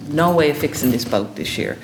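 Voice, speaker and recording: A woman's voice: sits low, expressive, a little fast. A woman voicing a blunt, dismissive young fisherman in a folktale. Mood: matter-of-fact. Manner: blunt, brusque. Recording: echoey room with faint background noise.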